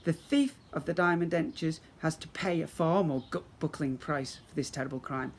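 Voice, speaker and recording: A man's voice: fairly high pitch, normal speed, a little hiss or hum, close to an everyday voice. A man voicing a leering skeleton pirate captain in a storybook, speaking grandly and with relish. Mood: gloating menace.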